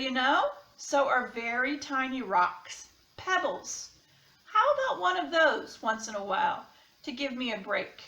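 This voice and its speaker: Woman reading as a worn-out, pleading crayon, high-pitched. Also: creaky, bright, forceful tone, full character voice. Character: exhausted, big-animal-weary gray crayon. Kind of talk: children's picture-book read-aloud. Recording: roomy, echoing sound.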